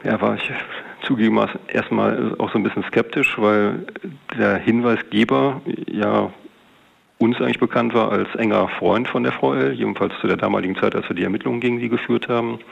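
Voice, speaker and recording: Male detective, low pitch, heavy fry, matter-of-fact recounting, dull lo-fi interview sound. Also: steady tone, fairly quick. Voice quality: creaky, gravelly. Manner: matter-of-fact, colloquial, long winding sentences. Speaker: police detective being interviewed. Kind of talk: unscripted recounting of an investigation. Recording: dull, band-limited interview recording.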